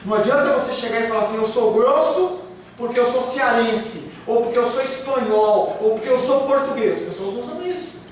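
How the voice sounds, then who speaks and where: A man's voice: very high-pitched, animated, fairly quick. A man preaching a sermon as pastor, emphatic, with rhetorical questions and acted-out excuses. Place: church hall. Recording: very echoey room, speaker far from mic, faint hiss.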